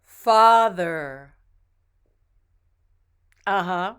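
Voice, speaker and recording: Female pronunciation coach, very slow and patient, smooth clear voice, slightly low. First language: English. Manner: models each word twice, patient and encouraging. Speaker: pronunciation teacher. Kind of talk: slow, deliberate pronunciation drill.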